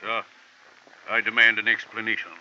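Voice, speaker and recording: A man's voice: fairly low pitch, level tone, normal speed, sharp tone. A man playing a pompous, florid, blustery colonel who owns racehorses, in old-time radio drama style. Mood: indignant. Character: blustery, courtly old-fashioned colonel, male racehorse owner. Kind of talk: 1940s-50s radio acting. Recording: dull, noisy old radio recording.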